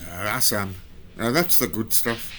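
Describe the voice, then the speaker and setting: A man's voice: lowish voice, animated, measured pace, pushed and bright, a little hiss or hum. An actor playing a teasing, friendly older man in an audio drama. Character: teasing, friendly older male chess partner. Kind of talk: scripted audio drama dialogue.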